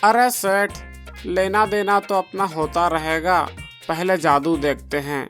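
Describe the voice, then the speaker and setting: A man's voice: high voice, fairly even intonation, slow pace, fairly bright tone, soft background music. A man voicing a sly, eager village henchman in a moral-story cartoon, servile and conspiratorial toward his boss.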